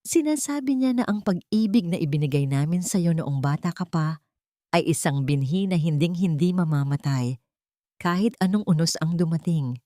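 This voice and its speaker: A female narrator playing an ageing father in a family audiobook drama. He is deeply moved and tender, and the sound is dry and close. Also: animated, normal speed, no room sound, close to an everyday voice. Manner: hushed, emotional. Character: emotional, tender elderly father.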